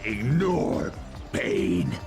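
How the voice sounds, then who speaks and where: A man playing a battered, vengeful villain, gravelly and strained, in a melodramatic video game scene. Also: fairly low pitch, wildly swooping pitch, drawn-out words, over music, heavily acted. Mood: pained rage. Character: battered, vengeful male villain forcing himself to fight.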